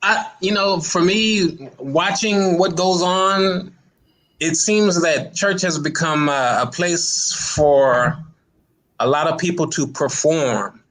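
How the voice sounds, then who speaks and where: A man with a voice a little higher than average talks casually as a panel guest. He is thoughtful and measured, explaining his view conversationally. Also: a bit bright, poor audio. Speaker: stage play cast guest. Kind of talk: casual, thoughtful interview talk.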